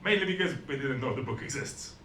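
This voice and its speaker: A man delivers stand-up in a dry, self-deprecating way, often stumbling and restarting his sentences. His voice sits at an ordinary middle pitch. The room is echoey, with a faint hiss under him. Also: lively intonation, quick pace, sharp tone. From Estonia. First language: Russian and Estonian. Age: 40s. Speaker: stand-up comedian. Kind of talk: stand-up comedy set.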